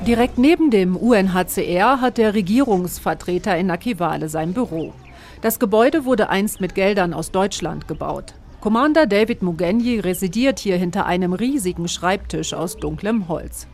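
A woman reporter reading scripted documentary narration in a measured, even way. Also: medium pitch, a little hiss or hum.

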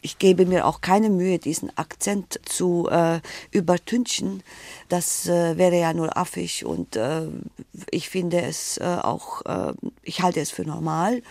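Woman, Romanian-German accent, rolled R, gravelly, hesitant, dry close sound. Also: on the low side, takes it slowly, darkish tone, no echo. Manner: hesitant, many "äh" fillers, thoughtful. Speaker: interview guest, writer. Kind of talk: reflective interview answers.

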